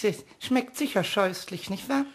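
Squeaky, mischievous little kobold. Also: male voice, a little slow, noisy background. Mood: gleeful, greedy. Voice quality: squeaky, thin cartoonish voice. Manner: childish, stammering, pleading. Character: small mischievous greedy kobold, childish and whiny. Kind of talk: German radio play character acting.

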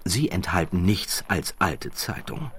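Deep husky guy narrating an audiobook, dramatic storyteller style, really emphatic phrasing. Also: very animated, unhurried, a bit bright. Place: dry studio.

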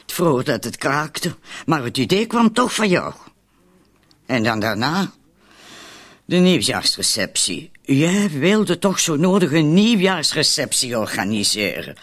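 Man as husky, animated, needling woman, Marilyn. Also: fairly high pitch, measured pace, full character voice. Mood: accusing. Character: wary, needling woman named Marilyn, accomplice in poisonings. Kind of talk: radio drama acting.

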